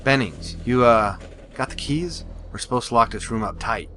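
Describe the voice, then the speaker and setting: A man plays a hesitant male radio operator in a horror storybook drama. His voice is medium-pitched, with faint noise around it. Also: moderate pace, a bit bright, close to an everyday voice. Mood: uneasy. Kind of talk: horror read-along audio drama.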